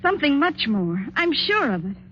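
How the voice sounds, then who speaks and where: Radio suspense heroine: young married niece, dramatic and insistent, highish pitch, dulled vintage sound. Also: female voice, very animated, normal speed, a little hiss or hum. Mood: apprehensive. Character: worried, stubborn young married niece narrating her own tale. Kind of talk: 1950s radio suspense acting and narration. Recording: muffled old radio recording, music behind.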